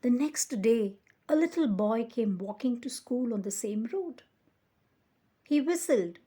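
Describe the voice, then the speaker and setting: A woman reading a children's picture book aloud as a storyteller, with expressive, deliberate emphasis. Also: on the high side, slight background noise.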